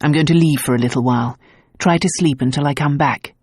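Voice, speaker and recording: A man reading an audiobook, voicing a gruff, protective nobleman husband in a low, tender voice. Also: quick pace, a little mellow, no echo, clean studio sound. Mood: firm. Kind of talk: audiobook dialogue.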